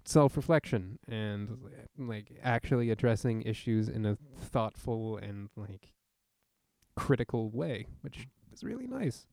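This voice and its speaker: A man's voice: fairly low pitch, very animated, measured pace, a little mellow, no echo. A man co-hosting a podcast in casual chat, with a wry, joking manner. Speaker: podcast co-host.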